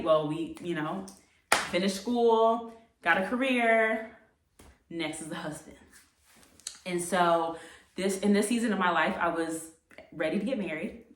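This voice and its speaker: A woman's voice: on the low side, fairly bright tone. A woman in her late twenties with an American accent, telling a personal story casually and reflectively, as a vlogger does, with emphatic repeated phrases. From United States. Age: late 20s. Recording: echoey room, distant microphone.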